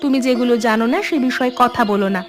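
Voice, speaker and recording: A woman voices a caring, careful married aunt in a cartoon story. The voice is fairly high and gentle, with clear plucked-string music playing behind it. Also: fast, lightly acted. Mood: patient. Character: gentle, cautious village aunt, a married homemaker. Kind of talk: Bengali cartoon voice acting.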